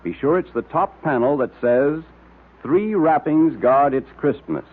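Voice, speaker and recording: A man announcing in an emphatic 1930s radio style, pitching to young listeners, on a tinny old recording. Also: lively intonation, measured pace.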